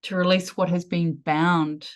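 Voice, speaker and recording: A woman leading a guided meditation in a soothing, measured way with lingering pauses. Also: lowish voice, flat delivery.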